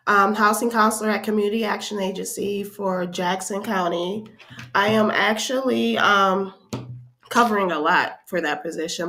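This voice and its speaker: A woman's voice: fairly low pitch, sharp tone. Congested woman with a cold, explaining. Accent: American Midwest. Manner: friendly, explanatory, with "um" fillers. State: stuffed up with a head cold. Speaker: housing counselor, podcast guest. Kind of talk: casual interview talk.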